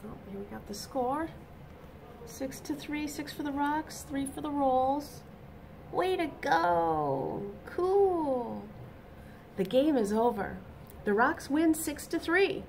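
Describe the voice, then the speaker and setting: A middle-aged woman reading a picture book aloud to children, expressive and deliberate, with emphatic exclamations, in a small room. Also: sits high, gravelly, slight background noise. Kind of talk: animated read-aloud storytelling for children. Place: small indoor office or classroom.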